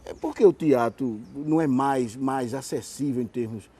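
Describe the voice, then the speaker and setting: A man's voice: medium pitch, normal speed, dark tone, husky. A man hosting an interview, putting his questions in a conversational, slightly roundabout way. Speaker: interview host. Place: outdoor hotel terrace.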